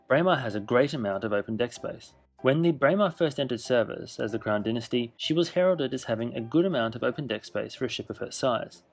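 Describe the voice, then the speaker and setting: A man narrating a scripted cruise ship tour in a measured, informative way. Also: mid-range voice, creaky, breathy edge. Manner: measured, informative, list-style delivery.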